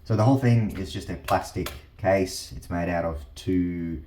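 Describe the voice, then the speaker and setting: A man giving a casual hands-on explanation, haltingly and with repeated words, as a host. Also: sits low, darkish tone. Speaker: host of a teardown channel. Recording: roomy, echoing sound.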